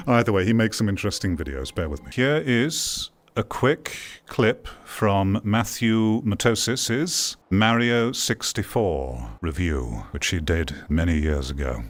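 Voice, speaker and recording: A man's voice: lowish voice, animated, a little slow, hardly any pauses. A man giving opinionated commentary to viewers, emphatic and repeating words for stress. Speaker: video host, VR commentator.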